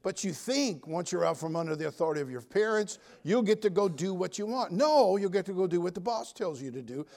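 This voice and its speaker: High-pitched preacher in his seventies. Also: expressive, brisk, few pauses. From United States. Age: 70s. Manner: folksy, emphatic, swinging from loud exhortation to quiet joking asides. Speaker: Baptist preacher. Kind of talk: sermon.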